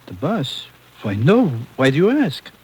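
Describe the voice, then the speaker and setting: An actor playing an evasive, defensive, agitated smuggler with a husky voice in a 1950s radio drama. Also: male voice, on the high side, wildly swooping pitch, unhurried, a little mellow, heavily acted, rough recording. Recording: old radio recording.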